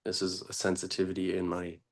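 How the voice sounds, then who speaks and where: A man teaching meditation in an unscripted, deliberate way, pausing often between short phrases. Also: low-pitched, monotone.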